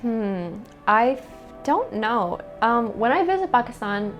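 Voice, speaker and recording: A woman's voice: on the high side, sing-song, measured pace, soft background music. A young American woman answering interview questions in a casual, chatty way, with lots of "like" fillers. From United States. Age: young adult.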